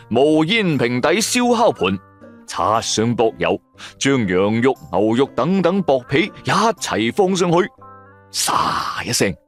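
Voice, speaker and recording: A man narrating a first-person audio drama in Cantonese, in a dramatic scripted storytelling style. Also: mid-range voice.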